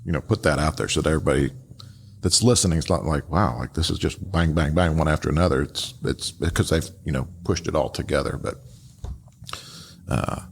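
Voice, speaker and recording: A man hosting a podcast with casual, unscripted commentary, speaking haltingly and often repeating his words. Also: very deep voice, vocal fry, slight background noise. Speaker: podcast host.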